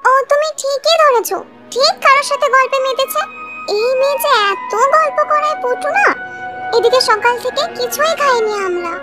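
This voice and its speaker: A woman's voice: very high-pitched, expressive, normal speed. A woman voicing a caring, dutiful young village girl in a cartoon, with a childlike, earnest manner. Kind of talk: Bengali cartoon voice acting. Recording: loud music behind the voice. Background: music.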